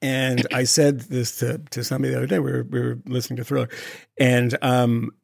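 A man's voice: mid-range voice, flat delivery, fairly quick, gravelly, darkish tone, dry recording, clean studio sound. A man co-hosting a podcast, chatting casually and laughing often. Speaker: podcast co-host. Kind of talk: casual chat.

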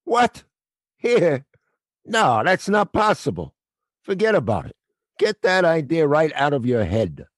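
A man playing a gruff, kindly night watchman. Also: on the high side, very animated, unhurried, pauses often, no echo, studio quality. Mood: firm refusal. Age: middle-aged. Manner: wry, world-weary, fatherly. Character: middle-aged male theater night watchman, gruff but kind. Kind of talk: audio play acting.

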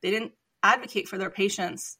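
Bright-voiced woman doctor from Iran. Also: medium pitch, breathy edge. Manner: earnest, measured, with light laughs. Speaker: physician guest. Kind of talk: reflective interview talk.

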